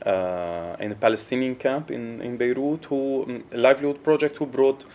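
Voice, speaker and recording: A man speaking at a panel discussion, halting and hesitant with many restarts. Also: mid-range voice, darkish tone. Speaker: panel audience member.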